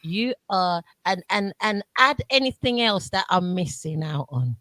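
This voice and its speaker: A woman hosting a radio interview, chatty and given to repeating herself and quick asides. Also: lowish voice, animated, fairly bright tone, dry recording. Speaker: radio host.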